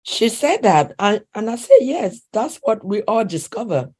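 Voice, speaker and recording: A woman's voice: on the high side, sing-song, a little slow, husky. A woman speaking English with a non-native accent, a relationship coach talking earnestly in an interview, often restarting sentences. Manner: earnest, with frequent restarts and soft laughs. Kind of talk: conversational interview.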